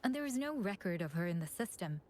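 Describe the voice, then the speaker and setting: An actress playing a composed, courteous chief administrator in a video game. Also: female voice, lowish voice, sing-song, quick pace, darkish tone. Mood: composed, analytical.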